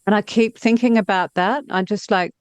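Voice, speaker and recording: A woman's voice: medium pitch, fairly even intonation, moderate pace, no room sound. A woman in her fifties chatting casually as an interview guest, effusive and self-deprecating about her own work as a novelist. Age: 50s.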